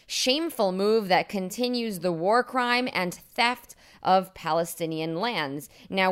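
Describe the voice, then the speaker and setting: Brisk, opinionated woman hosting a news podcast. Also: lowish voice, smooth. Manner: brisk, opinionated, chatty asides. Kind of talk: daily news podcast reading with personal asides.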